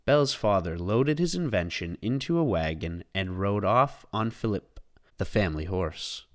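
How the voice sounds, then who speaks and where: A man reading a children's storybook aloud as scripted, expressive narration. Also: fairly low pitch, normal speed.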